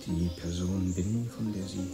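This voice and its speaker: A reticent teenage boy in a radio play. He speaks low and haltingly, guarded in manner, with music clearly behind him. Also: steady tone. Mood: guarded hesitant. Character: guarded, hesitant runaway rich teenage boy. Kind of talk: radio drama acting.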